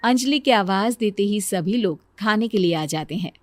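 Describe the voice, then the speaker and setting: A woman's voice: medium pitch, animated, moderate pace, dry recording. Woman narrating a moral story.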